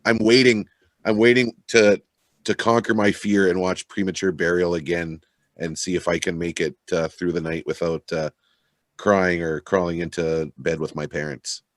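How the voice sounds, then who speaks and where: A man in casual radio-show chat, talking in an expressive, loosely conversational way. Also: sits low, normal speed, no echo, clean studio sound.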